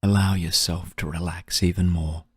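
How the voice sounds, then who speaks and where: A man guiding a meditation in a soft, breathy, soothing voice, gently and unhurriedly, with long pauses. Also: low voice, little pitch movement, no echo, studio quality. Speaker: meditation guide. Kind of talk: guided meditation narration.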